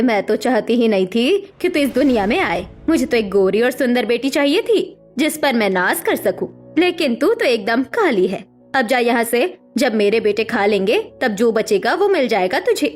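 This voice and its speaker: A woman's voice: fairly high pitch, lively intonation, moderate pace. A woman voicing a harsh, contemptuous middle-aged mother in a dramatic Hindi cartoon story. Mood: cold, scornful. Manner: scolding, contemptuous. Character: harsh, scornful middle-aged mother berating her daughter. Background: music.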